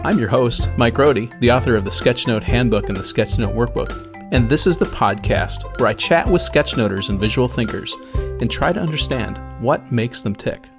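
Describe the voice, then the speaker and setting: Male podcast host, mid-pitched, easygoing and curious; light music bed. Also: expressive, moderate pace, creaky. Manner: friendly, curious questioner. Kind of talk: podcast interview hosting.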